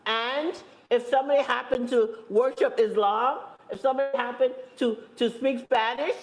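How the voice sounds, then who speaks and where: A woman speaking on a panel, making her points with emphatic repetition and deliberate slow stresses. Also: on the high side, fairly even intonation. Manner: emphatic, repeats phrases, stresses points slowly. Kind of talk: impassioned panel talk. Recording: echoey room.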